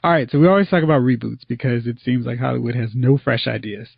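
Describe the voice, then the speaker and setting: Gravelly man hosting a movie podcast. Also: medium pitch, quick pace, no room sound. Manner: poses long rambling questions. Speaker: podcast host. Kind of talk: casual movie podcast chat. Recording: slightly dull lo-fi audio.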